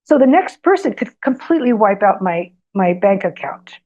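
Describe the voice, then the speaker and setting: A woman whose first language is Greek, a guest telling personal stories in an interview. She talks hesitantly with many ums, and grows animated during her anecdotes. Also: medium pitch, normal speed. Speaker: guest author. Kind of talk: storytelling in an interview. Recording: slightly dull lo-fi sound.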